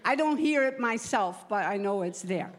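A woman in her seventies from the Netherlands with a Dutch accent, preaching a personal testimony in an earnest, deliberate way with pauses. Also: fairly high pitch, expressive. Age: 70s. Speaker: guest preacher giving a testimony. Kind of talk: sermon testimony to a church gathering.

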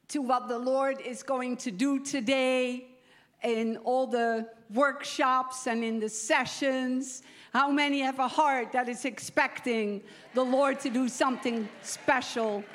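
Casting: female preacher, 70s, Dutch accent, slightly high voice, very unhurried, earnest testimony delivery. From Netherlands. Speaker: guest preacher giving a testimony. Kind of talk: sermon testimony to a church gathering.

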